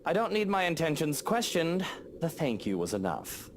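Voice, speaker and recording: A man's voice: on the high side, huge pitch swings, normal speed, slight background noise, voice pushed up. A man voicing a proud, irritable, defensive husband in a visual novel, speaking in a curt, haughty manner. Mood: defensive, irritated.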